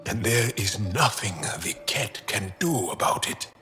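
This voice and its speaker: Game alien: low-voiced, contemplative, awestruck yet calm, measured delivery, music underneath. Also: male voice. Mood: awed, hopeful. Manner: measured, reflective, deliberate pauses. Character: calm, awestruck male alien philosopher, thoughtful and hopeful. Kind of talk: video game dialogue acting.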